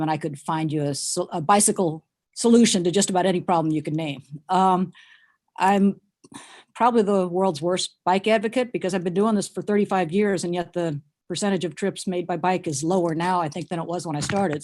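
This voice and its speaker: A woman in her sixties, a nurse near retirement, introducing herself on a committee video call in a wry, self-deprecating, halting way. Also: on the low side, a little mellow. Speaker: nurse and bike advocate.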